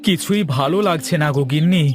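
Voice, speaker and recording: A man playing a village shopkeeper in a cartoon moral tale. His voice sits fairly high for a man and has a plaintive, drawn-out delivery. Music plays behind him. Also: lightly acted. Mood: gloomy. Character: despondent village shopkeeper brothers, husbands who lost everything. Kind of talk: cartoon moral story dialogue.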